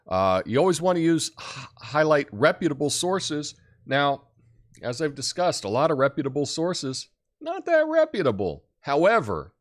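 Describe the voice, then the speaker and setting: Deep-voiced man, lively delivery. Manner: loud, emphatic, swoops up high in acted examples. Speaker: show host. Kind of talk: casual monologue.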